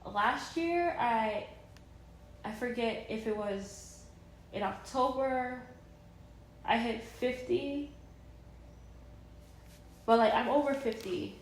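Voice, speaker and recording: Slow, rambling woman in an echoey room. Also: a little high, a little hiss or hum. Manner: rambling, enthusiastic, many fillers and restarts. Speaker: vlogger. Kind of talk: casual vlog chat.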